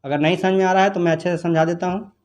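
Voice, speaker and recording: A man with a noticeably high voice gives a step-by-step lesson. He speaks as a teacher, instructing and repeating each point so students can follow. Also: fairly even intonation, rapid-fire, darkish tone. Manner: repetitive, instructive. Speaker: chemistry teacher. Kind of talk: step-by-step exam problem lesson.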